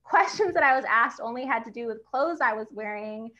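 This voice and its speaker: A young woman author with a high voice, speaking outspokenly and laughing often in a book event conversation. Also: lively intonation, a little fast, sharp tone, muffled recording.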